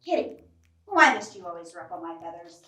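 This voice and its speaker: A woman's voice: on the low side, sing-song, fairly quick, sharp tone, far from the mic. A woman voicing a haughty, easily ruffled bird in a storybook, pompous and theatrical. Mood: irritated. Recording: roomy, off-mic, music behind.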